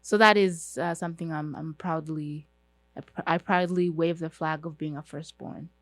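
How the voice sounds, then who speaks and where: A woman's voice: sits low, fairly even intonation, normal speed, dry recording. A young adult woman from Uganda, hosting a solo podcast about herself, speaking earnestly with frequent fillers and restarts. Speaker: podcast host.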